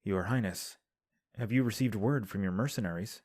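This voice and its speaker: A man playing a calm, formal young prince. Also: lowish voice, moderate pace, no room sound, studio quality, natural voice. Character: composed young male prince giving counsel. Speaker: voice actor. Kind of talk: audio drama acting. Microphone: home recording.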